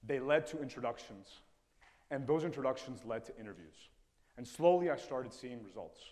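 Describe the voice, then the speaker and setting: A man's voice: medium pitch, expressive, normal speed, a little mellow. Earnest young man in a reverberant hall. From Ecuador. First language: Spanish. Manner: earnest, reflective storytelling. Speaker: TEDx speaker. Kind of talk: personal storytelling talk. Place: stage in a lecture hall.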